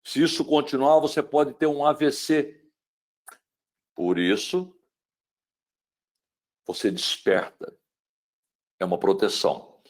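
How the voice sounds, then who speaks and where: Middle-aged Brazilian male lecturer; dramatic webinar delivery with rhetorical questions and sharp shifts between loud and hushed. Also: medium pitch, expressive, takes it slowly, pauses often. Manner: asks the listener rhetorical questions. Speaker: speaker on mental wellbeing.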